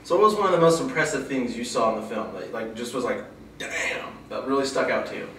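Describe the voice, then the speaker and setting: A young man in his twenties hosts a relaxed movie review, talking loosely and letting his sentences drop off at the ends. He's picked up from a distance in a small room that rings back, with a faint hiss underneath. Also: quick pace. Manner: loose, chatty, trails off low. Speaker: host of a movie review show. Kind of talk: casual review chat. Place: small indoor studio with a poster backdrop. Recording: echoey room, mic far off, faint hiss.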